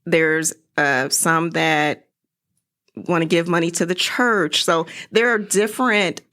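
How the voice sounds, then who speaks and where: Middle aged lady being interviewed, lowish voice, chatty and animated when she tells stuff. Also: slow. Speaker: playwright and interview guest. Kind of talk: casual interview chat.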